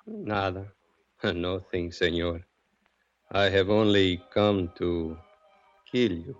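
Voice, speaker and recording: An actor playing a grinning hired killer who speaks calm, broken English with a heavy Spanish accent, in a 1940s radio drama. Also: male voice, sits low, takes it slowly, muffled recording, faint music behind. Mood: menacing calm.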